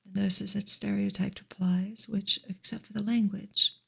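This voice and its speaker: A woman reading a book aloud for ASMR in a soft, breathy, unhurried whisper. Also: mid-range voice, little pitch movement. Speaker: ASMR reader and streamer.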